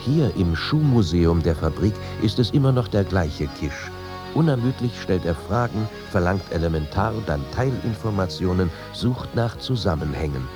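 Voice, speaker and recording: A man reading literary accounts in a deliberate, scripted narrative style. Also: sits low, animated, music in the background. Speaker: reader of quoted accounts.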